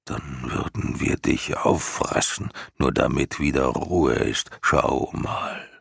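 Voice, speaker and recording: Storybook creature: ancient female turtle male actor, very deep, husky and wheezing, listless, dismissive, weary of everything. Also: slow, fairly bright tone, no echo, clean studio sound, heavily acted. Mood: irritated, weary. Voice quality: gurgling, breathy, wheezing.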